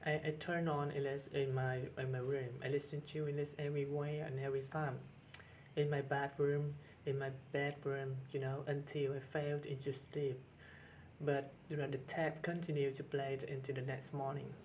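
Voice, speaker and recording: A middle-aged man with a Vietnamese accent, speaking earnestly to camera as a teacher with many pauses and restarts. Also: medium pitch, darkish tone, slight background noise, rough recording. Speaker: teacher and channel host. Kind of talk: personal talk to camera.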